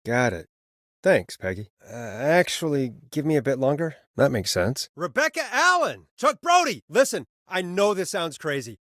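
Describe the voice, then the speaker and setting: An actor voicing a wry, harried late-night radio DJ in a video game, with a dry, sardonic and expressive delivery. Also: male voice, a little high, dry recording. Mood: uneasy, focused, then urgent, alarmed. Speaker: radio show host. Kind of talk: video game voice acting, radio patter and reactions.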